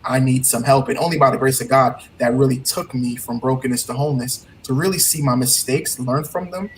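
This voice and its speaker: A man's voice: medium pitch, fairly even intonation, fairly quick, a little hiss or hum. A man with a Trinidadian accent, a heartbreak coach speaking as a guest in an earnest, motivational way, often repeating his key points. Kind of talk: casual interview talk.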